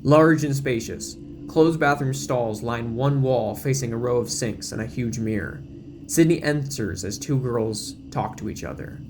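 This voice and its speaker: A man's voice: mid-range voice, unhurried. A man giving dramatic scripted narration as the narrator of an audio drama, with music behind him.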